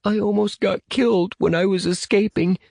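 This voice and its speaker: Male narrator as small scared boy, high pitch, quavering, halting, tearful, storybook reading. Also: no room sound, studio quality. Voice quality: shaky, thin voice. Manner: halting, tearful, youthful slang. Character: frightened little boy, shaky and tearful.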